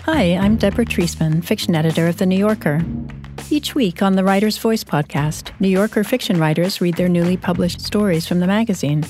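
A woman's voice: on the low side, fairly quick, darkish tone. A woman, a magazine fiction editor, reading a scripted promo for a literary podcast. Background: light music.

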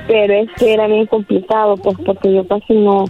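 A woman's voice: mid-range voice, little pitch movement, fairly quick. Friendly, polite Salvadoran woman, answering a prank call on a dull phone line, music under it. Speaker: call recipient.

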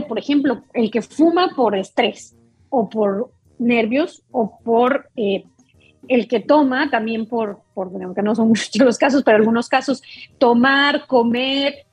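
Husky-voiced woman doctor. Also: high voice, unhurried, no room sound, faint music behind, poor audio. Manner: explains patiently, with many fillers and restarts. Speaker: doctor, guest expert. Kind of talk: explanatory talk show interview.

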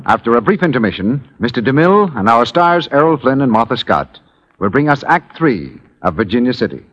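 A man's voice: mid-range voice, very animated, a little fast, highs cut off. A man making a network announcement in the style of 1940s radio. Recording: old radio recording, loud music and applause.

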